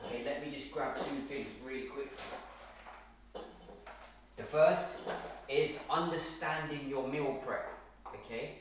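A man's voice: mid-range voice, measured pace, long pauses, a little hiss or hum. A man in his thirties coaches viewers on meal prep, emphatic and repeating himself for effect. His voice sits mid-range and is picked up from a distance. The bare kitchen around him throws back a heavy echo. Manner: emphatic, repeats points, keeps asking okay. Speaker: fitness coach. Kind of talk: direct-to-camera fitness tips. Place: large bare home kitchen. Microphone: far camera, no visible mic. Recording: very echoey room, from far off.

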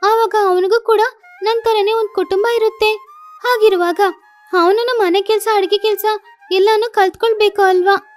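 Woman as a high-pitched plaintive little girl sparrow. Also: fairly even intonation, normal speed, soft background music. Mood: plaintive, resentful. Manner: pleading, complaining. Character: young girl sparrow, dutiful, plaintive, feels unfairly treated.

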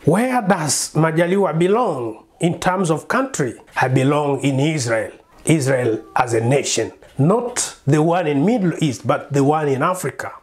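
A man in his thirties from Congo whose first language is Bembe, speaking earnestly to camera in a small home study on a lapel mic. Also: on the high side. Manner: earnest, insistent, gesturing with his hands. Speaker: preacher and singer presenting himself. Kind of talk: direct-to-camera monologue.

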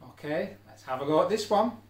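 A man's voice: on the high side, expressive, a little fast. A middle-aged man teacher leading a children's exercise video, giving simple, encouraging step-by-step instructions. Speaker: special-needs school teacher leading an activity. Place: indoor room at a wooden table. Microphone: camera a few feet away. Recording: echoey room, music in the background.

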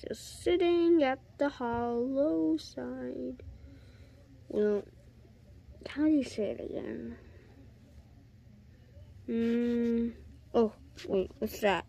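A woman's voice: fairly high pitch, vocal fry, mellow. A young woman voicing plush toys in a homemade bedroom skit, switching between character voices. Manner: shifts between many put-on character voices, slow and drawn out. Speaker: plush toy video maker voicing all characters.

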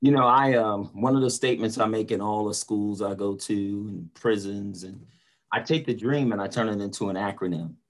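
An older man with a fairly low voice speaks on a video call. He talks earnestly and inspirationally, in the way of a motivational speaker. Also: normal speed. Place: indoor room on a video call.

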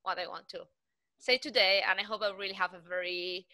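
A woman's voice: mid-range voice, steady tone, fairly quick, sharp tone, no echo. A woman in her thirties from Spain, co-hosting an online panel. She talks with enthusiasm, laughs easily and often restarts her sentences. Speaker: co-host and postdoc. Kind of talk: casual online panel chat. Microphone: video call.

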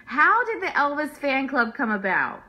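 Bright-voiced woman hosting a show. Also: high voice, big pitch swings, normal speed. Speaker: online radio show host. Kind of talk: upbeat show introduction and interviewing. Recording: live internet stream.

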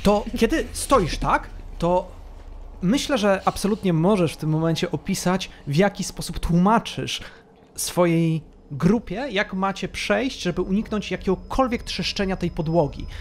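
A man narrating a tabletop role-playing game as game master, telling the scene slowly and atmospherically. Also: high-pitched, slight background noise.

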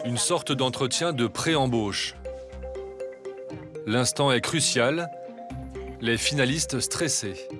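Male documentary narrator, measured delivery. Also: medium pitch, music in the background. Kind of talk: scripted voice-over narration.

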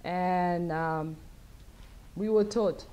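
A middle-aged woman from South Sudan answering audience questions at a lectern, speaking thoughtfully with many pauses and fillers. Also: fairly low pitch, steady tone, mellow. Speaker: guest speaker. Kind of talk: lecture Q&A answers. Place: wood-paneled event room, at a lectern. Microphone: lectern mic.

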